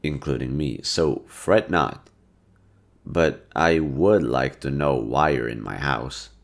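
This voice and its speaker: A young man with a gravelly, fry-heavy voice, relaxed and gently teasing. He speaks softly to a listener, as in an intimate audio roleplay. His pitch sits very low throughout. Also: slow pace. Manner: laid-back, teasing, soft-spoken. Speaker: voice actor.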